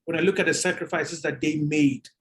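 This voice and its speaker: A man chatting casually and reflectively as a guest, halting and pausing mid-phrase. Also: on the high side, fairly even intonation, fairly bright tone. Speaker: guest author.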